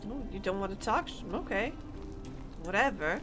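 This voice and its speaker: A woman's voice: mid-range voice, animated, moderate pace, over music. A woman streamer chatting playfully and thinking aloud while she plays, sometimes reading in-game text aloud. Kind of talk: gameplay commentary.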